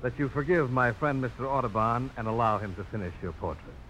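A man acting a young frontiersman in an old radio drama. He is direct, unafraid and polite, with a medium-pitched, lively voice over faint hiss. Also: brisk, close to an everyday voice, low-quality recording. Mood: bashful. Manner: straightforward, firm, courteous. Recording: hissy old radio broadcast.

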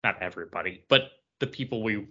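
A man's voice: lowish voice, quick pace, pushed and bright, highs cut off. A man chatting casually as a podcast co-host, telling stories in a rambling, meandering way. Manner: rambling storyteller. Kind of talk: casual chat.

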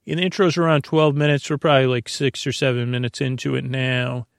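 A man with a creaky voice hosting a bedtime podcast in a meandering, halting ramble that keeps interrupting itself and drifting off topic. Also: mid-range voice, level tone, dry recording, studio quality. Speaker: podcast host.

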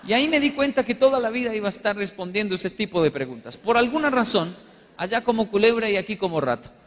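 A man from Colombia with a Colombian accent, giving a humorous storytelling talk as a motivational speaker, acting out little dialogues in an animated manner. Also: high-pitched, quick pace, in a large hall. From Colombia, with Japanese background. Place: large hall with live audience.

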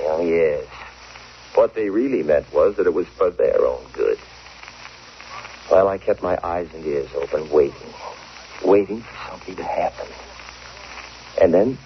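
A man's voice: low voice, heavily acted. An actor playing a bitter, jilted young man convinced of a plot, in dramatic, sardonic 1940s radio suspense style. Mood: tense, ominous. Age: young adult. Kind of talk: 1940s radio acting, first-person suspense narration. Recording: dull, faintly noisy old radio recording.

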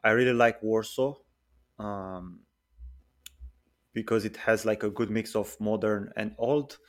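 A man in his twenties talks easily as a podcast guest, his voice sitting on the lower side. He pauses often with ums and uhs, unhurried and casual. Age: 20s. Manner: relaxed, hesitant with many fillers. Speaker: guest, freelance software engineer.

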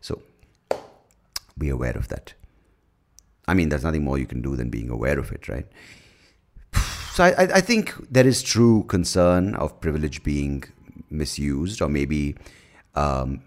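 A man with a deep voice hosts his own podcast alone, swearing freely and mocking things as he goes. His talk rambles from point to point, and his pitch jumps around a lot. He keeps the tone blunt and sarcastic throughout. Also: sing-song, unhurried, pauses often. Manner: breaks into mocking shouts and laughs at his own jokes. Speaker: podcast host. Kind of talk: casual solo podcast monologue.

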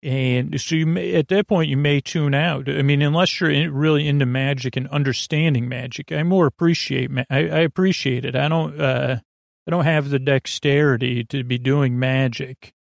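A man hosting a sleep podcast in a rambling, conversational bedtime monologue, halting and drifting off on tangents in a gravelly voice. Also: medium pitch, steady tone, no room sound, studio quality.

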